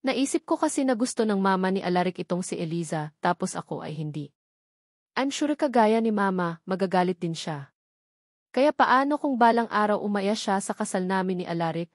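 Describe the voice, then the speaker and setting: A woman's voice: mid-range voice, dry recording. Filipino woman narrating, dry close sound. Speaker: audiobook narrator. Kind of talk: scripted first-person romance novel narration.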